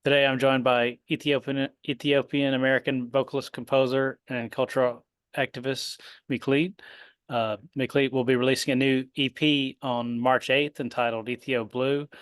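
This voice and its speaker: A man's voice: mid-range voice, smooth, no echo. A male interview host who asks his questions hesitantly, with many uhs and restarts.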